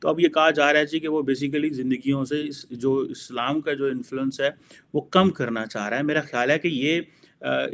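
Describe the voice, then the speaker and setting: A man's voice: on the high side, a little hiss or hum. Pakistani man giving informal political commentary. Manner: opinionated, explanatory, mixes in English phrases.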